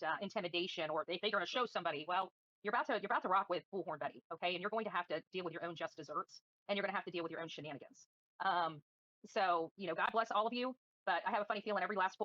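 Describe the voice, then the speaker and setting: A woman's voice: on the low side, a bit bright, no echo, dull audio. A woman livestreaming commentary and answering viewers in a combative, defiant, scornful manner. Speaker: livestream host.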